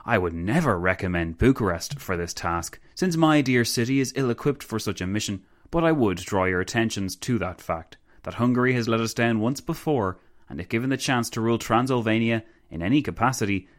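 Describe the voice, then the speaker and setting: A man's voice: fairly low pitch, lively intonation, fairly quick, lightly acted. A man voicing a self-satisfied Romanian statesman who speaks in flowery, pompous oratory in a narrated story. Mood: smug, oratorical.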